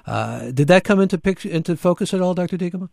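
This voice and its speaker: A man hosting a radio call-in show, asking long, halting questions and taking callers. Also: sits high, expressive, vocal fry, darkish tone, no echo, studio quality.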